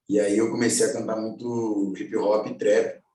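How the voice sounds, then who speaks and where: A man's voice: fairly low pitch, a little slow. Young carioca-accented man in echoey room. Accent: Rio de Janeiro (carioca). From Angola. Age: 20s. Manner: relaxed, slangy, many fillers and restarts. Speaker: musician guest. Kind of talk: casual personal storytelling. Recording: very echoey room, far from the mic.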